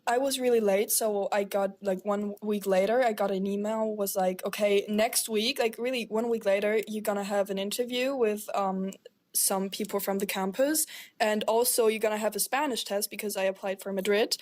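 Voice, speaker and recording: A young woman from Germany co-hosts a relaxed podcast, and her talk is animated and full of fillers. She giggles easily and acts out little quoted scenes while she chats. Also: mid-range voice. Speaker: podcast co-host. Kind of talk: casual podcast chat.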